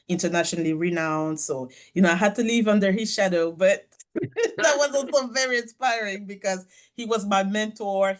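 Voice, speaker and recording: A woman from France whose first language is French, speaking as an interview guest over a video call from her office, telling her story in a measured, reflective way with soft laughs. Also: lowish voice. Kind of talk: conversational interview.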